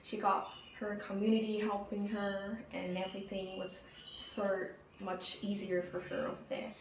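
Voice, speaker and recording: A young woman from Vietnam, speaking in an interview in a steady, reflective way. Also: mid-range voice, a little slow, roomy sound, distant mic, background noise. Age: young adult. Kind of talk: casual personal interview.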